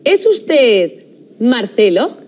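A young woman from Spain presents a Spanish course on TV, her voice fairly high and very lively. She speaks slowly and deliberately from a studio, and soft music plays behind her. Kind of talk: scripted educational presenting. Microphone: studio mic, framed close.

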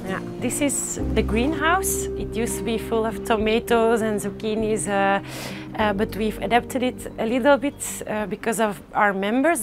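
Woman giving a rooftop garden tour. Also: mid-range voice. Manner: halting, many uh fillers and restarts. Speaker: rooftop farm guide. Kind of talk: casual guided tour. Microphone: lapel mic.

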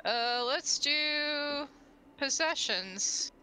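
A woman's voice: high-pitched, very slow, slight background noise. A woman with a slight lisp on her S sounds, chatting casually and reacting playfully while she plays a game. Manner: animated, playful, shouts at the game. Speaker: vtuber playing a game. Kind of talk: casual chat while gaming.

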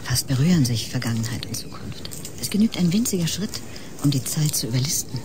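Low-voiced, otherworldly, detached woman. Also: lively intonation, brisk, noisy background. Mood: enigmatic, calm. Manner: solemn, enigmatic, drifting into breathy whispers. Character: detached, otherworldly woman who scorns human emotion. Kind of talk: German science-fiction radio drama acting.

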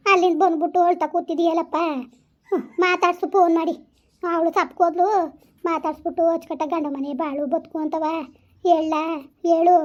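A woman's voice: very high-pitched, darkish tone, dull audio. A woman acting a doting older mother consoling her grown son in a rural southern Karnataka accent, coaxing and repeating herself fatalistically. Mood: insistent. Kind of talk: amateur family melodrama.

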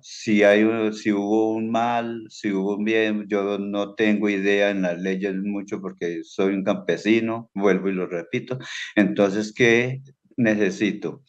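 An older man from Colombia, a farmer, telling his story slowly and hesitantly with many restarts. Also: lowish voice, lo-fi sound. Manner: slow, hesitant, plain-spoken, with many restarts. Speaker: interviewee, evicted farmer. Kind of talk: halting personal account. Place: small indoor room.

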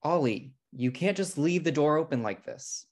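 A man's voice: on the high side, expressive, normal speed. A man playing an uptight, anxious brother in his thirties, fussy and exasperated, at a comedy table read on a video call. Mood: anxious, annoyed. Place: home room on a video call.

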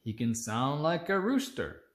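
A woman reading a children's picture book aloud, playful and expressive, acting out the sound words. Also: very deep voice, measured pace. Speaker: storytime reader. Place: indoors, seated close among children. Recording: roomy, echoey home recording.

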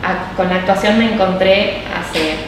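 A woman, an actress and singer answering interview questions softly and hesitantly. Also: mid-range voice, unhurried. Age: 30s. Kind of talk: casual interview. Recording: roomy echo, far from mic, noisy.

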